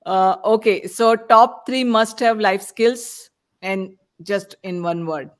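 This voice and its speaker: A podcast host running a rapid-fire question round and affirming each answer in an encouraging way. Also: medium pitch.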